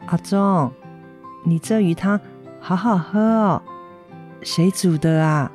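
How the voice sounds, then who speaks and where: A woman with a Taiwanese accent playing a gentle, apologetic mother recovering from surgery, speaking tenderly in an educational radio drama. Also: fairly low pitch, takes it slowly, soft background music. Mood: contented. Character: gentle, apologetic convalescing mother of a teenage boy. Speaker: amateur voice actor, schoolteacher.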